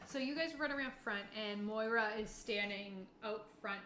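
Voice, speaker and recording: A woman narrating a tabletop game as game master in a casual, halting, improvised way. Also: medium pitch, bright, forceful tone. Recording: echoey room, clear background noise.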